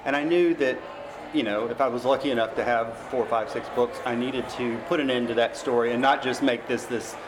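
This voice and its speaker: A man's voice: mid-range voice, steady tone, fairly quick. An American man being interviewed as a novelist, explaining his work casually in an informal, rambling way. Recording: clearly noisy background.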